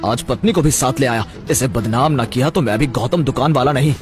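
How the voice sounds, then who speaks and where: A man's voice: medium pitch, brisk, close to an everyday voice. Man acting a scheming, theatrical shopkeeper villain. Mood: spiteful. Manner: theatrical, plotting. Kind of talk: Hindi cartoon moral-story voice acting. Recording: background music throughout.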